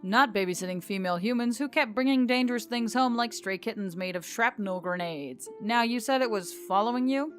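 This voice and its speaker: A jaded male boss of treasure hunters , as a woman reads him, talks with dry derision. He is gruff and deadpan, worn out by other people's trouble. It is a fantasy audiobook with music underneath. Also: a little high, fairly quick, few pauses, close to an everyday voice. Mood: derisive.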